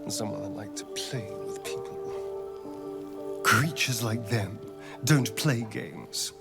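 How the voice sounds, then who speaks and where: An actor playing a sly, sardonic vampire rogue in a video game, speaking in an arch, theatrical drawl. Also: male voice, fairly low pitch, takes it slowly, creaky. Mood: uneasy, brooding. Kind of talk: dramatic game character acting. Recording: music behind the voice.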